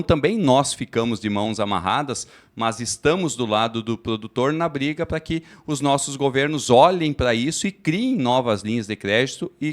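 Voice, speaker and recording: Man explaining credit cooperative work. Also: mid-range voice. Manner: steady, explanatory, formal. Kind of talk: live fair studio interview.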